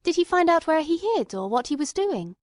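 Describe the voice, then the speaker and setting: A woman's voice: very high voice, big pitch swings, fairly quick, dry recording, studio quality, voice pushed up. A woman voicing an inquisitive male doctor turned investigator in a mystery drama, firm, emphatic and questioning. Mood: urgent, firm.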